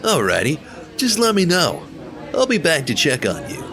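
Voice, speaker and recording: An actor playing a friendly, talkative young bartender with a laid-back manner, in an audio drama with music underneath. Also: male voice, fairly high pitch, huge pitch swings, normal speed, a crowd in the background. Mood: easygoing. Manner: laid-back, talkative, says bro a lot. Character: friendly chatty male bartender who calls everyone bro.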